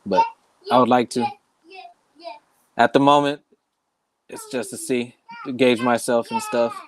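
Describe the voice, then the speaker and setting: A man's voice: mid-range voice, steady tone, measured pace, noisy background. A man in his thirties, a guest fighter in a casual interview, easygoing and polite with lots of "yes, sir". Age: 30s.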